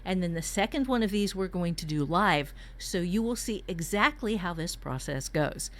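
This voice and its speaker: A woman's voice: lowish voice, animated, moderate pace. A woman teaching a writing workshop in a conversational way, candid and joking as she explains. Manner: candid, jokey, mildly profane.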